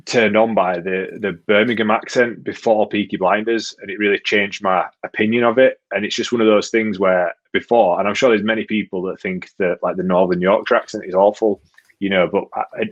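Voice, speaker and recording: A man's voice: fairly low pitch, steady tone, highs cut off. A young English man co-hosting a casual podcast, rambling through stories and opinions. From England. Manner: fast, rambling storyteller. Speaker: podcast co-host.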